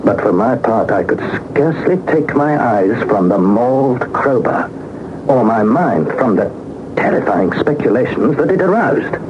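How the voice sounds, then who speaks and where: An actor playing an anxious young British gentleman explorer, narrating his journal formally and deliberately in a 1960s radio serial. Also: medium pitch, animated, natural voice. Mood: alarmed awe. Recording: noisy, muffled 1960s radio broadcast.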